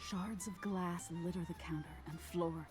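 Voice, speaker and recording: A woman voicing an eerie nightmare spirit in a video game, telling a soft, ominous tale in a hushed, whispery voice. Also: lowish voice, fairly even intonation, over music, natural voice. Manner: slow, soothing, ominous storytelling. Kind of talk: game character narration.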